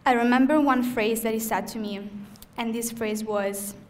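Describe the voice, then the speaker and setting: A young student from Italy gives an earnest ceremony speech with an Italian accent, pausing now and then as she goes. Her voice sits a little high and stays natural. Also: fairly even intonation. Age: young adult. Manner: earnest, slightly halting, with frequent "um" pauses. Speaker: student speaker. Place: event hall.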